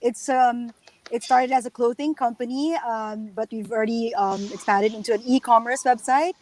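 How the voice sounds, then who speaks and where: A woman's voice: fairly high pitch, steady tone, moderate pace, a little mellow, rough recording. A woman from the Philippines, a founder joining a video call from outdoors, friendly and eager. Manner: friendly, eager, repeats herself. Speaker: founder and CEO guest. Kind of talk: casual video call chat.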